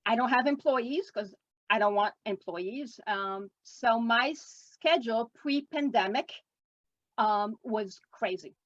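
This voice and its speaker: A woman's voice: on the high side, slow, a bit bright, dry recording. A retired nurse in her sixties, a woman from Quebec with a French Canadian accent, chatting at length and rambling with lots of ums in an interview over a video call. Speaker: guest artist. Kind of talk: casual interview chat. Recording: dull lo-fi video call audio.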